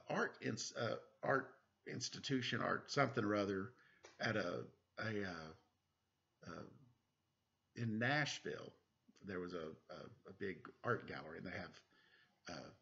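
A man in his forties doing a casual story time, chatting and reading aloud in a playful, expressive way. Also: on the low side, vocal fry. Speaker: storyteller reading a picture book to children. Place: living room at home. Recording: dull, lo-fi webcam sound.

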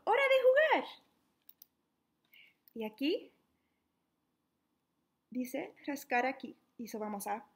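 A young adult woman reading a children's book aloud interactively, in an animated, playful, questioning manner. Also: high-pitched. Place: small living room at home, indoors.

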